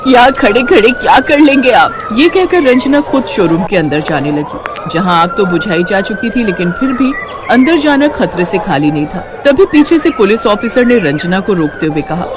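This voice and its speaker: A woman's voice: mid-range voice, sing-song, moderate pace, a crowd in the background, background noise. A woman narrating a scripted story as a storyteller.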